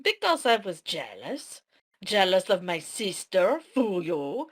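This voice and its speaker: A woman's voice: sits high, bright, forceful tone, husky, full character voice. A woman playing a defiant, guilt-ridden and jealous woman in an amateur fantasy drama table read, in a dramatic, indignant manner.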